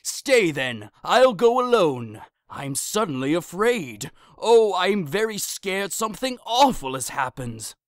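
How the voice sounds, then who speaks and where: A woman's voice: fairly low pitch, very animated, slow, hardly any pauses, dry recording, studio quality. A woman playing an anxious, kindly old friar in an audio drama, fretful and exclamatory. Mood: alarmed, horrified. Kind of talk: audio drama acting of modernized Shakespeare.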